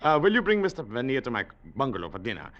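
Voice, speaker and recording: An actor playing a formal, courteous, slyly amused plantation host in a 1940s radio drama. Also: male voice, mid-range voice, huge pitch swings. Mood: genial, amused. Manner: formal, polite, drawn-out. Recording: muffled, noisy old radio recording.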